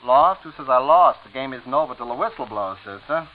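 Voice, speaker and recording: An actor playing a smug, cruel blackmailer, sardonic and mock-friendly, in 1940s radio drama style. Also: male voice, medium pitch, lively intonation, a little fast, natural voice. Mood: smug, sly. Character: smug, taunting blackmailer villain, gloating and cruel. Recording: muffled vintage radio recording.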